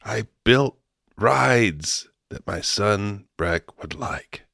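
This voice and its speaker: A man playing a boastful, excitable company boss given to wistful self-pity, theatrical and rambling in a comedic radio drama. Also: on the low side, dry recording, clean studio sound, heavily acted.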